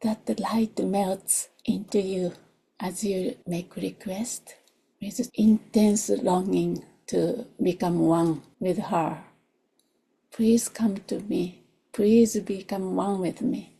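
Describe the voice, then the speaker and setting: A woman who is not a native English speaker leads a guided meditation in a soft, gentle voice, in short unhurried phrases that often drop toward a whisper. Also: mid-range voice, fairly even intonation, creaky, breathy edge, low-quality recording. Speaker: meditation teacher. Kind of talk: guided meditation, slow and soothing.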